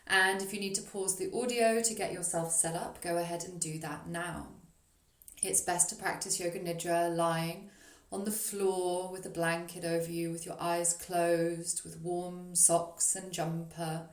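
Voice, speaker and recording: A woman leading a guided meditation as a yoga nidra teacher, speaking in a soothing, gentle, unhurried way with long pauses. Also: lowish voice, flat delivery. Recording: roomy echo on the voice.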